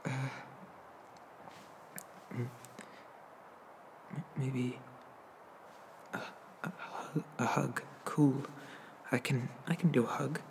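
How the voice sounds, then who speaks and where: A man plays a bashful young incubus in an ASMR roleplay. He speaks slowly and softly at a middle pitch, stammering and drifting into whispers, with clear background noise on the recording. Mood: bashful, awkward. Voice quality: soft, often slipping into breathy whispers. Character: shy, awkward, gentle young male incubus demon.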